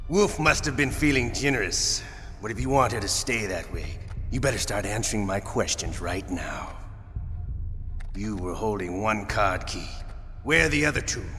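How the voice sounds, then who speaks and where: Older sadistic gunslinger villain, bright cutting voice, taunting and theatrical, big echoey hall w music, video game. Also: male voice, on the low side, expressive. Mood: menacing. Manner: taunting, theatrical, drawn-out menace. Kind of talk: dramatic video game voice acting. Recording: reverberant hall, music underneath.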